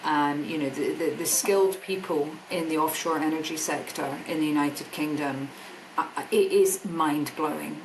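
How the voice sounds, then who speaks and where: A woman's voice: low voice, fairly even intonation, normal speed, background noise. A Scottish woman in a podcast interview, speaking earnestly and enthusiastically, repeating words as she thinks. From Scotland. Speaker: guest, energy business director.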